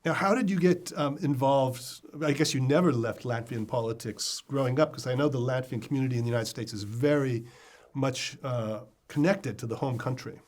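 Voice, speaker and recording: An older man with an average-pitched voice acts as moderator of a discussion. He speaks conversationally, setting up questions for his guest in front of others. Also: a little fast, darkish tone. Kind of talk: conversational interviewing before guests.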